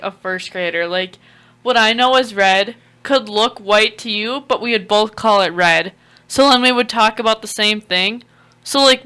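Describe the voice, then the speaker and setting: Bright, clear-voiced young woman musing on air. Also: a little high. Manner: musing, lays out ideas with many "like"s. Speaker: radio show co-host. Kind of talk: casual on-air chat.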